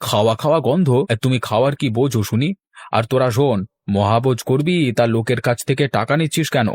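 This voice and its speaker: A man's voice: medium pitch, quick pace, darkish tone, dry recording, natural voice. Male actor as tight-fisted, quarrelsome cartoon husband; sarcastic scolding, medium register, dry studio sound. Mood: dismissive, argumentative. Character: stingy, grumbling married man who loves free feasts. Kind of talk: Bengali cartoon voice acting.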